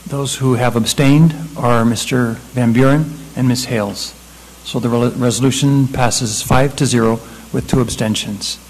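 A man's voice: medium pitch, a little mellow, low-quality recording. Man chairing a council meeting. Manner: procedural and brisk. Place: city council chamber.

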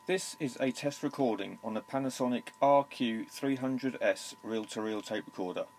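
A man reading out a test recording in a steady, deliberate way, counting and listing technical details, into a tape recorder's handheld microphone indoors. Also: mid-range voice, a little hiss or hum.

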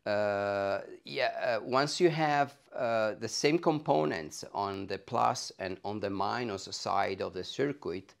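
Low-voiced Italian man, slightly gravelly. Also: takes it slowly, hardly any pauses. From Italy. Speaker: loudspeaker designer being interviewed. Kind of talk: technical explanation in an interview. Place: carpeted home listening room, indoors. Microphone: clip-on lapel mic.

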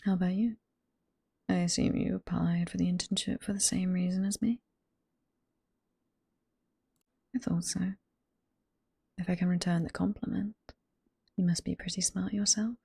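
A woman with a British accent playing a flirty, teasing, sweet head librarian boss in a whispered ASMR roleplay, soft and coy, close to the microphone. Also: fairly low pitch, level tone, moderate pace, creaky, pauses often, husky, exaggerated voice. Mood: coy, chatty. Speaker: voice performer in roleplay.

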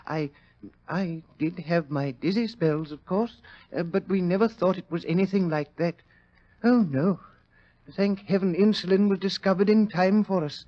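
An actor playing a meek, fretful suspect who stammers and pleads in a 1940s radio drama. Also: male voice, high voice, normal speed, natural voice. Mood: mournful, fretful. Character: meek, fretful, timid male murder suspect. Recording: muffled old radio recording.

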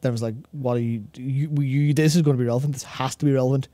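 A man's voice: medium pitch, steady tone, fast, warm tone, no echo. A young man co-hosting a gaming talk show, thinking aloud in casual discussion and often repeating words as he goes. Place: small indoor studio with acoustic foam panels. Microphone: broadcast mic on a boom arm, close.

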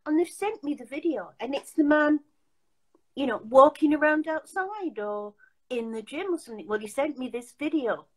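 A woman's voice: sits high, animated, normal speed, poor audio. An older woman hosting a casual chat over a video call from her home, emphatic and full of restarts. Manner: chatty, emphatic, many restarts. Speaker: host. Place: home room.

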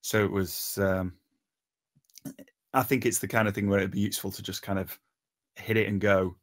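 A man with a fairly low voice gives an informal critique. He talks haltingly, feeling for his words with plenty of ums and pauses. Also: level tone. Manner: hesitant, halting, frequent long pauses and ums. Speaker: table read participant giving script feedback. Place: remote online voice call.

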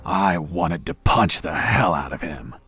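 A man playing a gruff, cocky prisoner action hero in a video game, telling his story in a deadpan drawl. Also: deep voice, a little slow, faint music behind, heavily acted. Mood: recalling, irritated.

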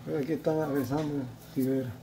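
A man with a slightly high voice keeps asking his friend how each step works. He speaks close to the phone he is filming with, and loud kitchen noise fills the background. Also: fairly even intonation, fast. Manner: curious, asks many questions. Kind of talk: casual kitchen chat. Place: small home kitchen, indoors. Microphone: phone camera in hand. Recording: phone audio in a noisy kitchen.

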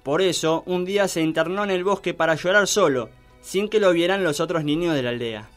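A man narrating a comic children's story in a theatrical, drawn-out storytelling manner. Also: on the high side, faint music behind.

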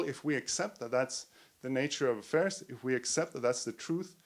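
A man presenting his book in an academic talk, lecturing in a measured way with frequent tag questions. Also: medium pitch, a little mellow, no room sound.

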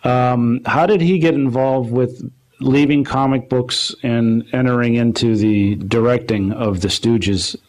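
A man's voice: medium pitch, fairly even intonation, measured pace, a little mellow. Male host, plain mid voice, brief curious questions. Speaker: radio club host. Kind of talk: interviewing.

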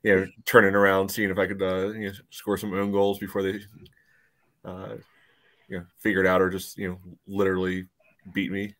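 A man's voice: sits low, monotone, a little fast, fairly bright tone. A man in his thirties chatting about sports in a rambling way with many filler phrases, on a video call. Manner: rambling, peppered with "you know". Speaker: podcast panelist. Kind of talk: casual sports chat. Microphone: webcam video call.

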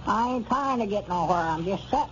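High-voiced dim old Southern country storekeeper. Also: male voice, animated, a bit bright. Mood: amused. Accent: rural Southern US. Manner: folksy, literal-minded, halting. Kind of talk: 1940s radio comedy acting. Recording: muffled, noisy old radio recording.

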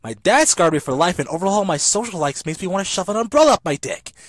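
A man voicing a cynical, self-loathing high-school boy in a sarcastic, easily panicked anime parody dub. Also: sing-song, fast talker, no echo. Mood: deadpan. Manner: ranting, sarcastic, foul-mouthed, swinging into yelps.

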